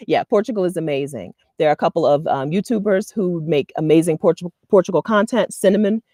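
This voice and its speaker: An animated woman in her thirties from the US East Coast, talking straight to camera in a casual, advice-giving vlog. Her voice sits a little low and sounds mellow and warm, recorded dry and close. She laughs easily and keeps asking "okay?" to keep her listeners with her. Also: brisk, no room sound. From Delaware, US East Coast. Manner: chatty and animated, laughs often, checks in with "okay?". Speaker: vlogger and expat community host. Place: outdoors in front of a leafy green wall.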